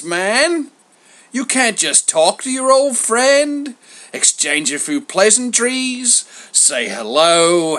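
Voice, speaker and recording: A huge bearded god with the patter of a hipster hustler. The voice shoots high and swings widely, with a bright, forceful edge. He schmoozes, brags and wheedles in slang, like a salesman in a comic myth. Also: heavily acted. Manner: slangy, schmoozing, boastful, theatrically wounded. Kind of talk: comic story character voice.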